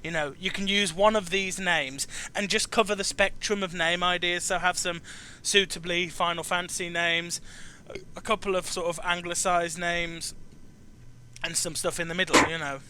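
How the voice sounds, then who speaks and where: Man, high bright voice, stammering repeats, casual host chat, faint noise behind. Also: flat delivery, moderate pace. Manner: stammering, repeats phrase openings. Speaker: host interviewing a guest. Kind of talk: casual chat about video games.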